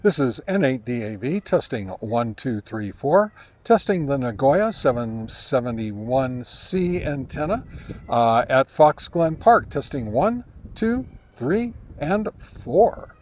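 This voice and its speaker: An American man giving a casual field-test review outdoors, speaking in a halting way as he thinks aloud. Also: medium pitch, big pitch swings, darkish tone. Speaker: amateur radio reviewer. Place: outdoors in a park.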